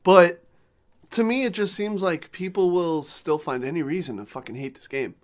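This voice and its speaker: A man co-hosting a casual gaming podcast, reading news articles aloud while losing his voice and clearing his throat. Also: sits high, moderate pace. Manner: clears throat, reads quotes in runs. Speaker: podcast co-host.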